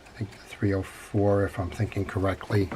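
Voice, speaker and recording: An older man with a head cold, congested, hosting a relaxed, rambling how-to on servicing a fishing reel at his workbench. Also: low-pitched, moderate pace, very noisy. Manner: relaxed, rambling with frequent fillers. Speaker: fishing reel repair channel host. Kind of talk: casual how-to narration. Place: workshop bench.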